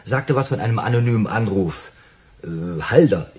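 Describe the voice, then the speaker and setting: Low-voiced, nervous, talkative waiter, played by a man. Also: moderate pace, close to an everyday voice. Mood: eager. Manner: defensive, excitable, wordy. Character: nervous, talkative male waiter, eager to explain himself. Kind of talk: radio crime drama acting.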